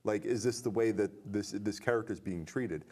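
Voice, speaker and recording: A man co-hosting a casual film discussion, analytical and animated, posing questions to his partner. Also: fairly low pitch, a little fast. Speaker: podcast co-host.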